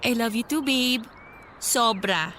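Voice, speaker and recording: A woman's voice: a little high, slow, fairly bright tone, a little hiss or hum. A woman playing a young student girlfriend, affectionate but guarded, in a Tagalog radio drama. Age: young adult.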